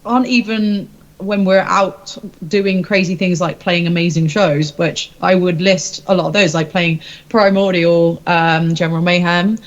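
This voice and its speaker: A woman's voice: lowish voice, fairly even intonation, a little slow, muffled recording. A woman, a rock singer-songwriter, chatting casually in an interview in an informal, rambling, self-deprecating way.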